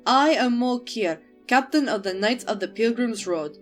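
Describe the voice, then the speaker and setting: A woman's voice: fairly high pitch, expressive, measured pace, faint music behind. A woman playing a formal, composed female knight captain with a commanding manner in a fantasy audio drama. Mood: composed authority.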